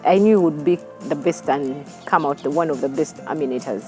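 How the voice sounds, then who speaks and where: A woman's voice: low-pitched, fairly quick, darkish tone, soft background music. A woman with a Ugandan accent giving a personal testimonial in a documentary interview. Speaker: family member giving a testimonial.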